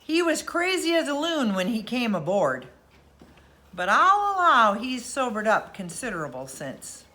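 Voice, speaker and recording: A woman's voice: fairly high pitch, huge pitch swings, unhurried, pushed and bright, heavily acted. A woman voicing a folksy, plain-spoken fishing schooner captain, a grown man, in a storybook reading. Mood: self-satisfied.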